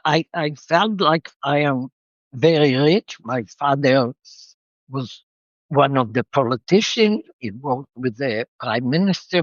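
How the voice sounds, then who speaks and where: Slow-spoken older woman. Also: sits low, no echo, dull audio. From Israel. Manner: reflective, unhurried storyteller. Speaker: guest. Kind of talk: interview storytelling.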